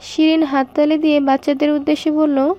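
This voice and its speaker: A woman's voice: sits high, flat delivery, brisk, muffled recording, soft background music. A woman reading scripted story narration.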